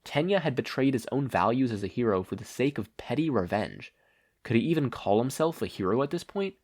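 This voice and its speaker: A man reading stories aloud as an expressive podfic narrator. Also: medium pitch, brisk. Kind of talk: expressive fan fiction narration.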